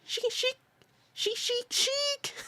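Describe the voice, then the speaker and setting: A man voicing a chorus of forest insects in shrill, squeaky chirps for a story game. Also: steady tone, very slow, pushed and bright, slight background noise, heavily acted. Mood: frantic chanting.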